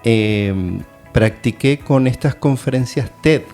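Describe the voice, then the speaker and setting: A man's voice: mid-range voice, slow, a little mellow, soft background music. A middle-aged man hosting an educational radio chat, talking in a hesitant, thoughtful way with many fillers. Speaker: radio host and adult education teacher.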